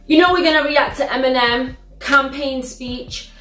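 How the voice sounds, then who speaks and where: A young woman with a British accent, hosting a reaction video in a living room, telling stories in an animated, slangy, exclamatory way. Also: high voice, moderate pace, faint music behind. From United Kingdom. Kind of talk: casual reaction vlog. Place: living room, sitting on a sofa. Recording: roomy living-room echo.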